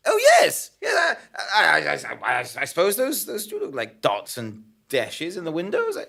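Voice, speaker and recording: A woman's voice: low voice, wildly swooping pitch, moderate pace, creaky, a bit bright, slightly rough, exaggerated voice. A woman acting a flustered, bewildered investigative journalist in a comic audio drama, stammering and hesitant. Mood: hesitant, anxious.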